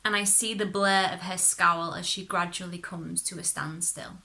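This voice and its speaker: A woman reading a short story aloud as expressive narration, with dramatic, deliberate phrasing. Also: lowish voice, rough recording. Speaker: story reader.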